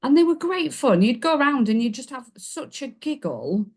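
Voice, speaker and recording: A woman's voice: sits high, very animated, normal speed, slightly rough. A woman in her forties with a Yorkshire accent, guesting on a podcast and telling her story in a chatty, self-deprecating way. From Yorkshire, England. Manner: warm, chatty, self-deprecating, laughs along. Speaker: podcast guest, business coach. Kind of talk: casual interview storytelling.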